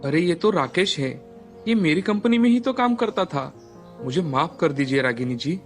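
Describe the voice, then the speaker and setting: Man acting a humble, earnest, higher-voiced man. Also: expressive, normal speed, soft background music, lightly acted. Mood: apologetic, grateful. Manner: earnest, pleading, polite. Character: humble, earnest adult man in a moral tale. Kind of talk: Hindi moral-story voice acting.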